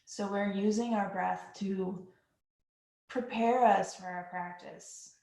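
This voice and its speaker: A woman leads a yoga breathing practice in an unhurried, soothing way. Her voice sits at an ordinary middle pitch. The room around her has a noticeable echo. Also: vocal fry. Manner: slow, calm, soothing guidance. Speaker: yoga instructor. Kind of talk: guided yoga breathing instruction. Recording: echoey room.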